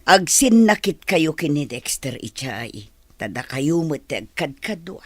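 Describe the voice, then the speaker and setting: A woman playing a doting older mother in an Ilocano radio drama, with a husky voice and Ilocano accent. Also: sits low, big pitch swings, a little slow, dry recording, exaggerated voice. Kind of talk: radio comedy-drama acting.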